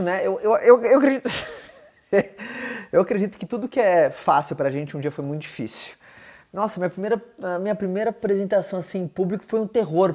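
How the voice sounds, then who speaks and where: A man who hosts a podcast, answering questions in an energetic, emphatic motivational chat with lots of fillers. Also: high-pitched. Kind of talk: casual motivational talk.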